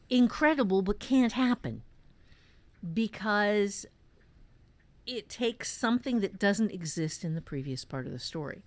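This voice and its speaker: A woman hosting an informal talk as a writing teacher, emphatic and halting, often repeating words for stress. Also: mid-range voice, darkish tone. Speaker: podcast host and fiction-writing teacher. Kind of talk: informal writing advice in conversation.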